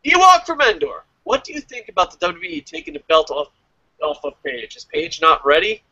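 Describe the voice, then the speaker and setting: A man with a high, very lively voice hosts a loose wrestling talk show. He jokes and curses as he reads comments aloud. The sound is close and dry, slightly muffled. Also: brisk, dry recording. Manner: profane, joking, animated. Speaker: wrestling review show host. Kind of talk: casual wrestling talk, reading out listener comments.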